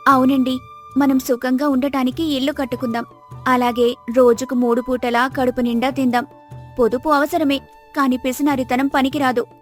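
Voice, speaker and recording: A woman voicing a patient, wise, devoted village wife in a moral story, earnest and gently admonishing. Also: high voice, little pitch movement, moderate pace, soft background music, close to an everyday voice. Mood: relieved, devoted. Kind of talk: Telugu moral story character acting.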